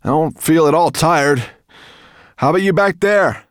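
A man voicing a steady, caring, protective male dragon in a storybook, calling out in a reassuring way. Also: fairly high pitch, measured pace, smooth, dry recording, studio quality, voice pushed up, close to an everyday voice.

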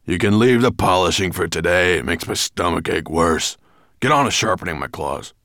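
A man's voice: deep voice, wildly swooping pitch, measured pace, gravelly, bright, forceful tone, no room sound, studio quality, heavily acted. A man voicing a huge, vain, irritable male golden dragon with a growling, snarling voice and an imperious, insulting manner, in a storybook reading. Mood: grumpy.

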